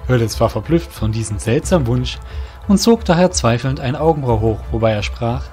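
A man's voice: mid-range voice, animated, brisk, mellow. A man narrating a scripted fantasy audio drama as storyteller. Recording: music under the voice.

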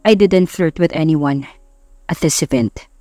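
A woman's voice: sits low, unhurried, warm tone, no echo, voice pushed down. A smug, stubborn young rich husband from a romance audiobook, played by a woman. He talks in a cool, flippant, teasing way and slips between Tagalog and English. The recording is dry, with music underneath. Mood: curt, firm.

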